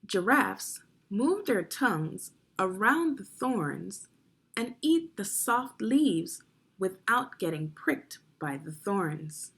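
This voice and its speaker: A woman's voice: mid-range voice, very animated, slow, a bit bright, slightly rough. A woman reading children's nonfiction aloud as a teacher, instructive and putting guiding questions to young listeners. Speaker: read-along narrator and reading teacher.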